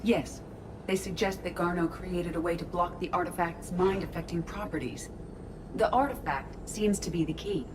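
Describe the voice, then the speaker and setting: An actress voicing a composed, analytical artificial intelligence crew member in a video game, with precise, measured and formal speech. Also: female voice, on the low side, noisy background, close to an everyday voice. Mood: calm, analytical.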